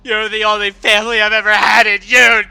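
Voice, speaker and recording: A storyteller voicing a young female pegasus filly who is heartbroken, wailing and shouting through tears. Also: mid-range voice, completely flat delivery, normal speed, slightly rough, dry recording, pitched below the performer's own voice.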